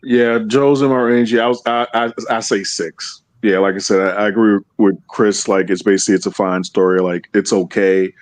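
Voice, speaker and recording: Man, guest panelist, rather low pitch, close dry recording, casual, thinking aloud. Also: steady tone, normal speed, few pauses, no echo. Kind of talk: casual review chat.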